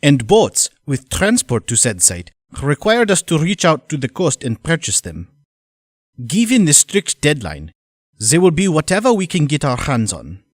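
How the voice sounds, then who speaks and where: A man playing a formal, businesslike mercenary colonel, reading a letter in an audio drama with measured delivery. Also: on the high side, wildly swooping pitch, dry recording, clean studio sound.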